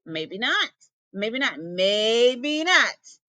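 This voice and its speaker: A woman's voice: a little high, big pitch swings, drawn-out words, sharp tone, dry recording, full character voice. A woman voicing a mean old male snake in a folktale, wheedling and pleading with a sly hiss. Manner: hissing, wheedling, repetitive. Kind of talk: animated folktale storytelling.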